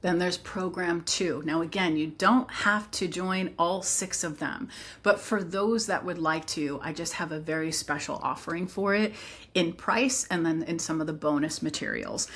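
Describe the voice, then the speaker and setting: A woman's voice: on the low side, normal speed, breathy edge. A woman teacher presenting her program informally, explaining earnestly with conversational asides.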